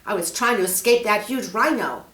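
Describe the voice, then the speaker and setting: A woman voicing an excitable, exasperated young boy adventurer in a storybook, with urgent, emphatic delivery. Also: mid-range voice, normal speed, lightly acted. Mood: defensive. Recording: roomy, echoing room.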